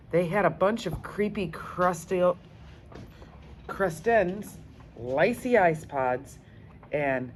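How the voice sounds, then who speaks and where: Woman reading a kids book, lowish voice, really animated, faint noise behind. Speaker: read-aloud storyteller. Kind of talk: expressive children's book narration.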